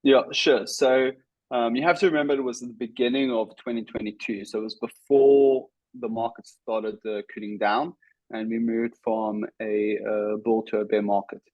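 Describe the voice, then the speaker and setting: A man in his thirties with a South African accent, a guest telling his career story in casual interview talk, with lots of "um" and "uh" pauses. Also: on the low side, steady tone, no echo, lo-fi sound. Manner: talks in short bursts with many "um" and "uh" pauses. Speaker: guest, startup CEO.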